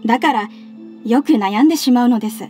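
Casting: actress for earnest young working-mother secretary, anime game drama, high polite voice, measured and respectful. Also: natural voice. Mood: earnest, reflective. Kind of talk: Japanese anime game voice acting. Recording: studio voice over game music. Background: soft background music.

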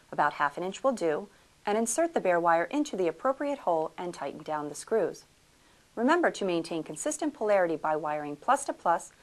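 A woman reading scripted promotional narration for a product video in a polished, upbeat sales manner. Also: fairly low pitch.

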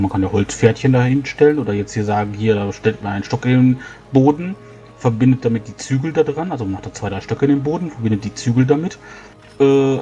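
A man's voice: medium pitch, mellow. A man improvising as a tabletop role-player, explaining things in a rambling way. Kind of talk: in-character improvised dialogue.

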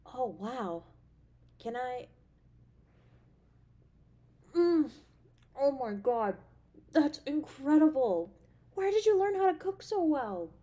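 A woman voice actor playing an affectionate, playful, caring girlfriend in an intimate roleplay audio drama, with a tender, expressive delivery. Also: high voice, takes it slowly, long pauses, exaggerated voice. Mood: delighted, admiring.

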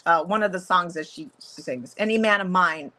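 A middle-aged woman with a deeper voice for a woman, chatting casually as an interview guest over a video call, with many fillers and restarts. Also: moderate pace, pushed and bright. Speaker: guest, photographer and songwriter. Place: home room, video call.

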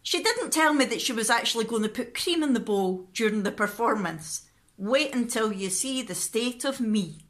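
A woman's voice: a little high, lively intonation, normal speed, a bit bright, slight background noise. A woman in her thirties chatting casually and animatedly to camera as a vlogger in a living room, recorded at arm's length. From Scotland. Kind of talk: casual vlog chat to camera. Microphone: phone or camera at arm's length.

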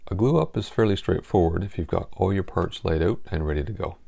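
A man in his forties calmly explaining a furniture repair step by step while working in his home wood shop. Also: sits low, a little fast, creaky, slightly rough. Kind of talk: hands-on repair tutorial.

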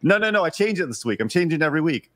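A man on a livestream panel doing a mock-formal running bit in a dry, deadpan way. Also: fairly high pitch, racing, no echo. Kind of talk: casual livestream chat.

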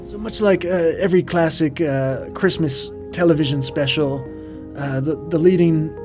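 A man's voice: fairly high pitch, moderate pace, natural voice. Man voicing a pompous ghost host. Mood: wistful. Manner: pompous, hammy, grows loud and ranting. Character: vain festive male ghost host, boastful. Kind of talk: comedic commentary track. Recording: music playing underneath.

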